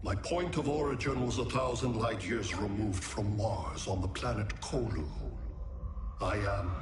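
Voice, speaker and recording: A man voicing a cold, analytical alien android conqueror in a video game, speaking in a measured, detached, formal way. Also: lowish voice, natural voice. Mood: coolly analytical. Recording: echoing, music behind.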